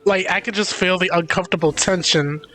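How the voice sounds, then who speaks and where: A man's voice: high voice, fairly even intonation, a little fast, sharp tone. A man giving casual, wry, grumbling commentary as he livestreams a game. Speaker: game streamer. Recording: game music behind.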